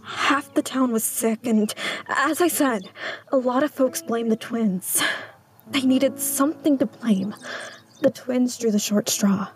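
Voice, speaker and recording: A woman playing a reserved, uneasy small-town schoolteacher in an audio drama, speaking in a hesitant, hushed way. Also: on the high side, slow. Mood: uneasy, guarded. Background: music.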